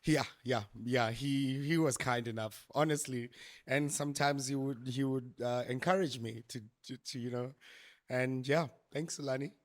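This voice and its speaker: A man's voice: medium pitch, steady tone, moderate pace. A man in his thirties, a musician guest on a podcast, laughing often and restarting his sentences. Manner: giggly, hesitant, many restarts. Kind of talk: casual interview chat.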